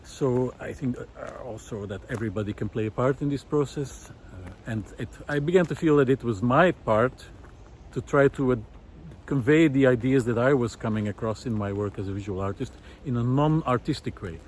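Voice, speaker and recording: A man speaking as a vlogger in a reflective, unscripted monologue outdoors, with earnest, halting, hesitant phrasing. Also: mid-range voice, darkish tone. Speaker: vlogger, former visual artist. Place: outdoors in a park. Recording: outdoor ambience with clear traffic noise.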